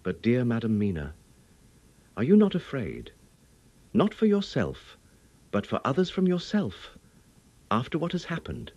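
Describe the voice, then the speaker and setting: A man's voice: medium pitch, huge pitch swings, measured pace, pauses often, a little mellow, no room sound, highs cut off, pitched above the performer's own voice. A man playing a grave, protective, fatherly older doctor in an audiobook, pleading solemnly in archaic, formal phrases. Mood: gentle, anxious. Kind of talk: dramatic audiobook character reading.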